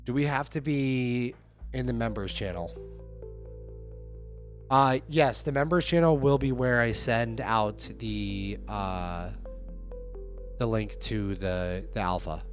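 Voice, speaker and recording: A man with a hoarse, fading voice chatting casually on a livestream, upbeat and talking straight to his viewers. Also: mid-range voice, slow, long pauses, faint music behind. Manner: upbeat, hype-driven, addresses viewers directly. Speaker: livestreaming app developer.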